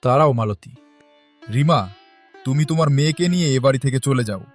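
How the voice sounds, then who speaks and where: A man's voice: medium pitch, a little fast, soft background music, natural voice. A man playing an arrogant, harsh husband in a cartoon story, commanding and indignant. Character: harsh, arrogant husband banishing his wife. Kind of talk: cartoon moral story acting.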